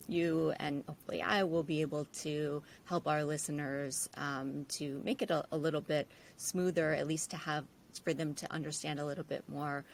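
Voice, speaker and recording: A woman's voice: low voice, gravelly, slight background noise. A woman, an estate planning attorney hosting a podcast, speaking with hesitations and frequent fillers. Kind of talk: podcast conversation.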